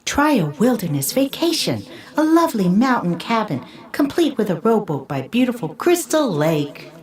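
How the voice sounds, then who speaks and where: A woman's voice: mid-range voice, sing-song, moderate pace, darkish tone, natural voice. A woman playing a gushing advertisement voice, inviting listeners with sales patter inside an audio play. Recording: echoey, distant from the mic.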